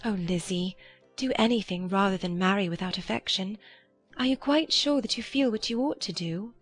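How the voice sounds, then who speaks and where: A woman playing a gentle, earnest, affectionate young gentlewoman in a dramatized period audiobook. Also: mid-range voice, normal speed, soft background music, close to an everyday voice. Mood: earnest concern. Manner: earnest, tender.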